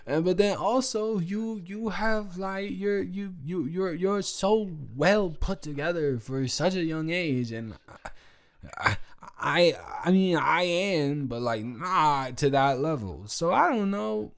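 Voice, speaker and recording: Audio drama role, male actor: tipsy young male roommate, lovestruck and sheepish, rambling slowly with big swoops in pitch. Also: lively intonation, full character voice. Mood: rambling, admiring. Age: young adult. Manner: rambling, stumbling, slangy, exaggerated. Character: drunk, rambling young college roommate, sheepishly confessing love. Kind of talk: improvised roleplay audio drama, one-sided conversation.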